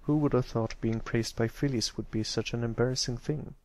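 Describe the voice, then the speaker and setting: A man's voice: medium pitch, steady tone, brisk, a little mellow. A man reading a story aloud as scripted narration, as an amateur audiobook reader.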